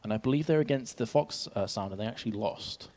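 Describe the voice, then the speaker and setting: A man's voice: mid-range voice, quick pace, darkish tone. A young man with an English accent, casting an esports match and joking about himself with his co-caster. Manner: self-mocking, jokey, laughs often. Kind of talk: casual caster banter. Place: event stage in a venue.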